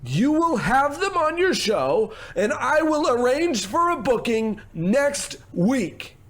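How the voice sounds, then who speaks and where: Here is a man acting as a pushy male show-business producer from an old-style radio comedy. The voice sits high and swings widely in pitch. He sweet-talks and belittles in the same breath, smug and bossy. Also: sing-song, slow, fairly bright tone, exaggerated voice. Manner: smooth-talking, condescending, gushing endearments. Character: brash, smarmy, domineering male TV producer.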